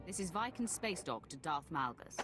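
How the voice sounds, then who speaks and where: An actress playing an ambitious, defiant Sith woman in a video game, speaking crisply and formally. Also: on the low side, very animated, normal speed, music in the background. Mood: businesslike. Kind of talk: scripted video game acting.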